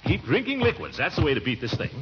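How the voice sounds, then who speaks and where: An actor playing a pompous, grumpy, fretful middle-aged uncle in 1940s radio comedy, blustering and exclaiming. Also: male voice, fairly high pitch, big pitch swings, quick pace, music in the background. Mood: fussing, concerned. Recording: dull, noisy vintage radio recording.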